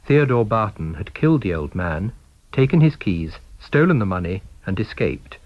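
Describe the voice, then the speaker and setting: A man's voice: sits low, dry recording. A British man reading a scripted story as an audiobook narrator. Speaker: audiobook reader. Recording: dull, band-limited recording.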